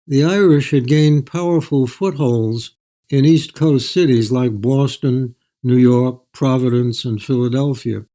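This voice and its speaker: An older man telling stories as an author in an interview, in a measured, anecdotal way. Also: mid-range voice, mellow. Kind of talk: storytelling in an interview.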